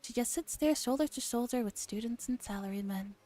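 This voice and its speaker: A woman streamer gently reading a visual novel aloud in a soft ASMR style, with relaxed casual asides. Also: on the high side, a little fast. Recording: music behind the voice.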